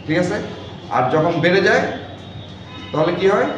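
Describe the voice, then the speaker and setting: Male instructor, higher-pitched voice, quizzing and repetitive, captured in a heavily reverberant classroom. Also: expressive, moderate pace, faint music behind. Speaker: electronics repair instructor. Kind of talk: classroom lecture with check questions. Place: echoey indoor classroom. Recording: very echoey room, far mic, clear noise, dull.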